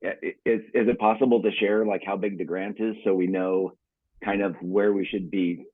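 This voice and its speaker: A deep-voiced man with a husky tone asks questions informally on a conference call. His voice comes through a narrow, phone-like connection with very little room sound. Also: steady tone, moderate pace, no room sound. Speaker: prospective bidder asking questions.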